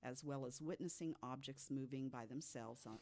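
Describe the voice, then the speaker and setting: Podcast co-host, mid-pitched, deliberate delivery from notes, conversational with emphatic asides. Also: darkish tone. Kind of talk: storytelling from notes to co-hosts.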